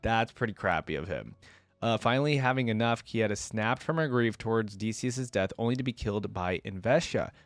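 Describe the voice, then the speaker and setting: A man's voice: on the low side, expressive, brisk, no room sound. A man hosting a casual reaction video, reading from a wiki aloud and adding off-the-cuff conversational asides. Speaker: reaction video creator and host.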